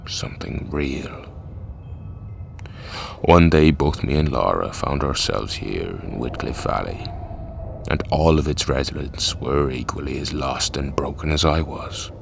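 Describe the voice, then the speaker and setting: A man playing a calm, philosophical cult leader and grieving widower in an audio drama, speaking in a measured, eloquent way. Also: rumbling low, exaggerated voice. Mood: grave, confiding. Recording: clear background noise and ambience.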